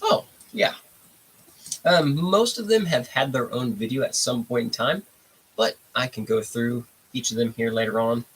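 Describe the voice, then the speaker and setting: A man's voice: mid-range voice, a little slow, a bit bright, slightly rough, low-quality recording. A congested man hosting a casual live stream, rambling in a laid-back way and greeting viewers from the chat. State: congested, stuffy nose. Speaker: livestream host.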